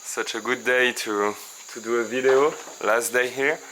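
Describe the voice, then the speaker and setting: A man's voice: medium pitch, steady tone, unhurried, pushed and bright, rough recording. A man interviewing a guest outdoors, asking simple, friendly questions. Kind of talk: casual interview. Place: outdoors on a mountain farm. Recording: outdoor noise with crickets.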